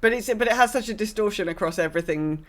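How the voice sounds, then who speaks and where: A woman's voice: medium pitch, fast talker. Wry woman podcast host chatting casually. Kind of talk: casual discussion of a video game.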